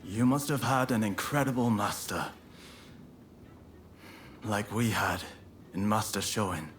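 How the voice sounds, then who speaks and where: An actor playing a fiery, proud and idealistic young samurai in a video game, with earnest, formal phrasing. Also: male voice, lowish voice, slow pace, slight background noise. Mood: reflective, warm. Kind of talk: video game cutscene acting.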